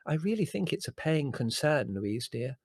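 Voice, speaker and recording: A man's voice: a little high, very animated, moderate pace, no echo, studio quality, pitched above the performer's own voice. A man voicing a coy, fussy, earnest woman cousin in a storybook, emphatic and confiding. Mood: gushing, earnest. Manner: gushing, confiding, emphatic, easily exasperated.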